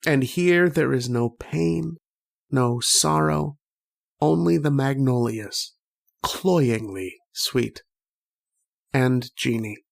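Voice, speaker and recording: Man acting tormented dreamer, old-time radio drama, creaky voice, unhurried halting delivery, brooding, poetic. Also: medium pitch. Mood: brooding, dreamy. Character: tormented, homesick man named Troy trapped in a dream.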